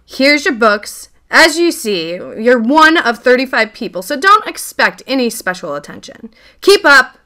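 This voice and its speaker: A woman's voice: sits high, sing-song, unhurried, full character voice. A woman playing a strict, impatient, mocking schoolteacher in a family radio play, with a haughty, scolding delivery. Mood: brisk, dismissive. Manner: theatrical scolding, haughty and sharp.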